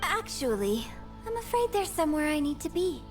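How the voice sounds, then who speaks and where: A high-voiced young female opera star in a video game, composed and courteous. Her phrasing is measured and refined, heard a little off the mic over a clear music bed. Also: sing-song, far from the mic. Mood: apologetic, courteous.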